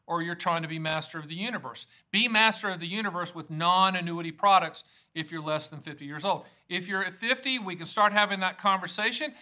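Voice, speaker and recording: Male, American, informal video presenter: high, very forceful voice, emphatic and down-to-earth delivery. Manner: emphatic, folksy, plain-spoken. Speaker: annuity agent hosting an educational video series. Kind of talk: informal explainer presentation to camera.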